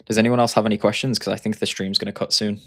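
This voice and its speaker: Low-voiced Yorkshire man explaining trades. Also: flat delivery, racing, a bit bright, no echo, clean studio sound. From Yorkshire, England. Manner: explanatory, thinking aloud over charts. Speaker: trading coach and stream host. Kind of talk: live-stream chart commentary.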